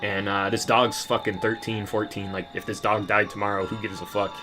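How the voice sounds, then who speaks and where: A man's voice: fairly low pitch, level tone, brisk, music in the background. A man ranting in a casual monologue, bitter and profane, rambling with many restarts. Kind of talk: casual rant to camera.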